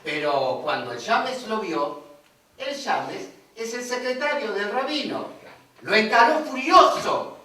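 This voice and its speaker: An actor playing a boastful, wisecracking radio contestant on stage. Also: male voice, high voice, expressive, slow, pushed and bright, exaggerated voice. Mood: joking. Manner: wisecracking storyteller, punchy delivery. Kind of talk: comic stage acting as a 1950s radio performer. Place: theatre stage. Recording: echoey stage sound from far off.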